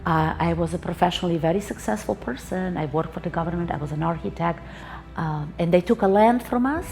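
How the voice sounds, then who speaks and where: Earnest woman, lower voice. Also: a little fast, vocal fry, a little mellow. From Slovenia. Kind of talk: personal testimony to camera.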